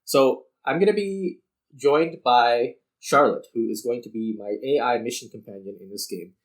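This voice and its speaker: A man's voice: mid-range voice, normal speed, poor audio. A man hosting a gaming video, giving casual unscripted commentary and explaining things directly to the viewers.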